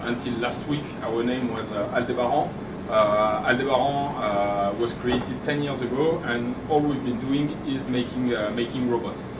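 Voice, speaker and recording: A man from France with a French accent, presenting at a developer meetup, talking fast and halting with many restarts. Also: mid-range voice, very noisy. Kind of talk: live conference talk. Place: meeting room. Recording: echoey room, far from the mic, loud air conditioning.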